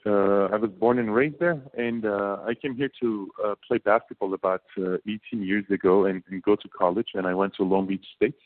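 A man in his late thirties with a Turkish accent, a business co-founder speaking as a guest in a casual interview, halting, with many fillers and repeated words. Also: fairly low pitch, steady tone. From Turkey. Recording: phone-like narrow band.

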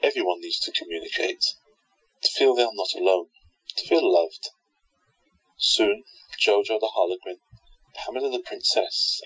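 A man's voice: low-pitched, slightly rough, no echo. A man narrating a scripted radio play as its storyteller. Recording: slightly dull, lo-fi sound.

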